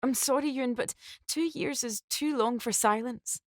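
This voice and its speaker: A woman voicing a distressed young woman torn between two men, high and pleading. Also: moderate pace, no room sound, clean studio sound, natural voice. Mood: pained.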